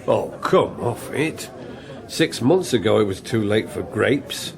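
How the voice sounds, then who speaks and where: An actor playing a grumbling, sceptical political colleague, blunt and sarcastic in manner. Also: male voice, on the low side, animated, slow pace, creaky, heavily acted. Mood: scornful. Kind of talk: British political drama acting. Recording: crowd chatter behind.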